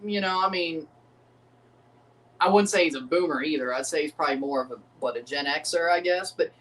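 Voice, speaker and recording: A man's voice: on the high side, brisk, fairly bright tone, far from the mic. A man, hosting a casual livestream reaction and rambling profanely while second-guessing himself. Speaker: livestream host reacting to a match.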